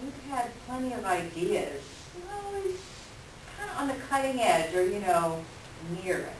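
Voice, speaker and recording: A woman's voice: medium pitch, big pitch swings, normal speed, natural voice. A woman acting a cool, cutting ex-wife with a dry, mocking delivery in a staged play reading. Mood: wry. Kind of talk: staged readers' theater acting. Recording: echoey room, distant mic, noisy background.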